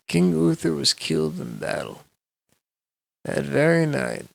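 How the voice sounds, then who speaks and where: A man narrates a bedtime story in a very creaky, gravelly voice. He takes his time, with measured, dramatic phrasing and a pitch that swings widely up and down. The sound is dry and close. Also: a bit bright, dry recording, poor audio. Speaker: storyteller reading aloud.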